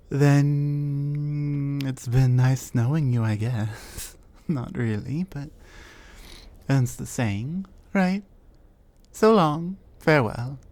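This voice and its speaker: A woman's voice: extremely low pitch, dark tone, heavily acted. A woman voicing a huge, reclusive female troll in an ASMR audio roleplay, curt and commanding. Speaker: voice actor.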